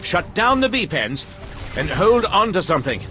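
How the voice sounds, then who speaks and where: Game mission controller, fairly high voice, very animated, directive, wry, music blaring behind. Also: male voice, a little slow. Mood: brisk, commanding. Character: male mission controller, brisk, wry and commanding. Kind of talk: video game dialogue, issuing instructions.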